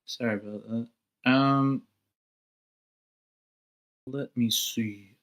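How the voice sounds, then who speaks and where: A man giving informal feedback on a screenplay in a tentative, rambling way, softening his criticism. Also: medium pitch, fairly even intonation, drawn-out words. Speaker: participant giving script feedback.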